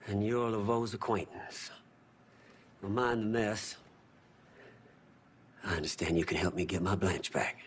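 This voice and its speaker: An actor playing a wordy, theatrical crooked lawyer with florid, precise speech in a video game. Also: male voice, lowish voice, fairly even intonation, a little slow, long pauses, slight background noise, close to an everyday voice. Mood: smooth, aggrieved. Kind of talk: video game cutscene acting.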